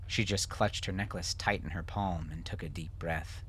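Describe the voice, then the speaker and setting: Deep, forceful male audiobook narrator. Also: steady tone, normal speed, slight background noise. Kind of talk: scripted dramatic fiction narration.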